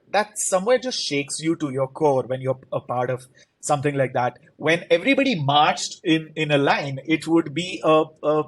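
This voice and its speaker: Earnest man, guest storyteller. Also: fairly high pitch. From India. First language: Marathi. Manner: earnest, expansive storytelling with emphatic repetition. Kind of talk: conversational interview.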